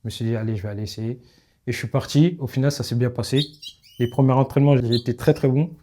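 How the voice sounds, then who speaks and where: A young man, a footballer, answering interview questions in relaxed, plain street talk. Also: mid-range voice, fast talker. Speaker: footballer guest. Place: outdoors in a neighbourhood.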